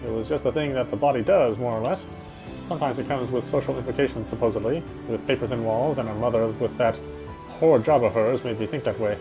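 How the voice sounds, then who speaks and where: A male actor voices a British husband's inner monologue in a visual novel game. He talks quickly, wry and brooding, as if confiding excuses to himself, with music clearly playing behind him. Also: medium pitch, lightly acted. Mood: brooding, confused. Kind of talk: first-person visual novel narration.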